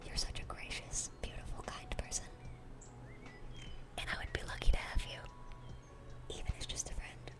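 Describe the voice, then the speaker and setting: A woman playing a dorky, lovestruck young demon in a whispered ASMR roleplay, speaking in a breathy, earnest, flustered way. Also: normal speed, pauses often, natural voice. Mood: flustered, hopeful. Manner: flustered, stammering, gushing, earnest.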